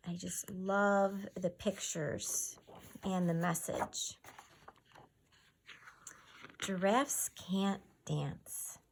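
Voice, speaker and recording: A woman reading a children's picture book aloud in an expressive, measured storytelling style. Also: on the low side. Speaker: storybook reader.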